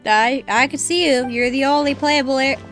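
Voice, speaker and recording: A woman's voice: sits high, fairly even intonation, moderate pace, fairly bright tone, faint music behind. A woman streaming a game live, making casual, wry, joking asides. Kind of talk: casual live gaming commentary.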